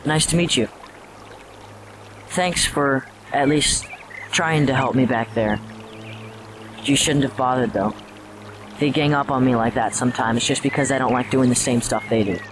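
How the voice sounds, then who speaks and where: A man's voice: medium pitch, normal speed, pauses often, natural voice. A man playing a troubled teenage boy, anguished and defensive as he struggles with a possessing voice, in an audio drama. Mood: sheepish, apologetic. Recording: voice over prominent music.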